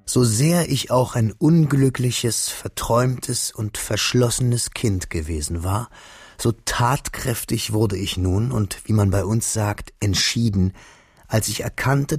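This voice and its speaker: A man's voice: mid-range voice, a little mellow, slightly rough, no echo, studio quality, heavily acted. Earnest, dreamy young man narrating. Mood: reflective, earnest. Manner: reflective, measured, literary. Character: young Frenchman, earnest, shy, dreamy, recalling his youth. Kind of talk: scripted first-person radio drama narration.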